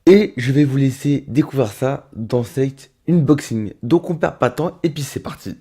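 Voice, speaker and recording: Casting: thirty-year-old male vlogger, warm low-key tone, rambling friendly delivery with lots of "euh". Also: normal speed, slightly rough. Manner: chatty, many fillers and restarts, addresses viewers as little rabbits. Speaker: roller skating vlogger. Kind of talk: casual unboxing vlog. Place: home studio.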